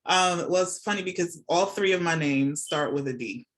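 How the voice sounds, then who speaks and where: A woman's voice: fairly low pitch, moderate pace, poor audio. A middle-aged woman talks as a guest on a podcast. Her delivery is chatty and casual, explaining things conversationally and often checking that the listener follows. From born and raised in Jamaica, moved to the United States as a child. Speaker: podcast guest, nurse and academic. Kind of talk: casual conversational interview answers. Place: remote video call from home.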